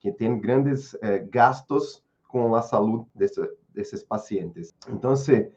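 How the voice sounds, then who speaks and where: Brazilian man speaking halting Spanish. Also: lowish voice, warm tone, lo-fi sound. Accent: Brazilian Portuguese. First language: Portuguese. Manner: halting, with many restarts and fillers. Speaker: guest speaker, researcher. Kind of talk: webinar presentation.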